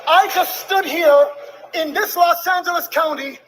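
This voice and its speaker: An agitated, angry middle-aged man making an impassioned public denunciation, shouting in an indignant, preacher-like way. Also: very high voice, a little slow, slight background noise, poor audio. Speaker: defendant's father speaking out.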